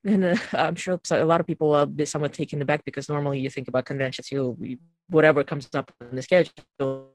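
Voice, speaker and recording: Wordy, halting podcast host, dry close sound. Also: medium pitch, steady tone, dry recording. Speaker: podcast host moderating a group hangout. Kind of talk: casual chat with hesitations. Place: online video call.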